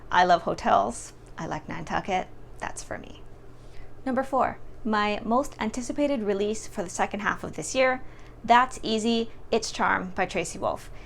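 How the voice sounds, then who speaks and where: A young woman reviews books in a casual, conversational vlog style. Her voice sits at a medium pitch for a woman. There is faint but noticeable noise in the recording behind her. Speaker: book reviewer vlogger. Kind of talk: casual chatty book review to camera. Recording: clearly audible background noise.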